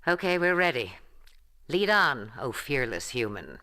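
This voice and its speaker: An actor playing an eloquent, sardonic alien doctor in a video game cutscene, with a theatrical storyteller's delivery. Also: male voice, medium pitch, sing-song, unhurried, sharp tone. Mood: wry. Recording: game music behind the voice.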